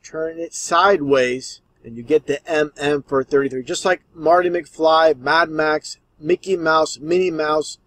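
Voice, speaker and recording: A man's voice: fairly high pitch, fairly even intonation, unhurried, hardly any pauses, a bit bright, no room sound. A man giving conspiracy commentary over video clips as the host, explaining in an insistent, repetitive way.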